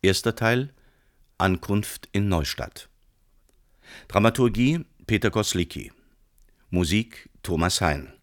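A male radio announcer with a very deep voice reads scripted credits. His delivery is slow, formal and measured, in a dry studio sound. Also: expressive, no echo.

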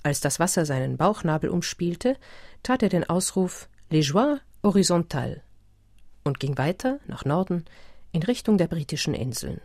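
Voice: medium pitch, big pitch swings, normal speed, darkish tone. A performer in a radio play as a formal, matter-of-fact chronicler reading literary narration. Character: formal chronicler reporting an eccentric gentleman's last deed.

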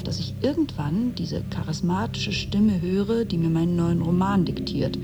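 Low-voiced, wry woman novelist. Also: noisy background. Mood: wry, dreamy. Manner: intimate, wheedling, often dropping to a whisper. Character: blocked female novelist coaxing her absent muse, wry and needy. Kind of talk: radio play monologue.

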